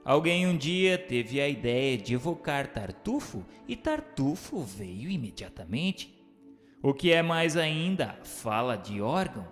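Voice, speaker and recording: Male narrator, formal and declamatory, very varied melody, reverberant sound over a light music bed. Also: medium pitch. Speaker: narrator reading questions and notes. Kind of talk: scripted narration of a spiritist book.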